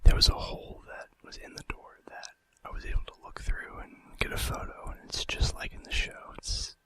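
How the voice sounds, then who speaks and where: A man doing an informal ASMR fact video in a breathy, unvoiced whisper with a hesitant delivery. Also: very high-pitched, steady tone, moderate pace, sharp tone. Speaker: ASMR host.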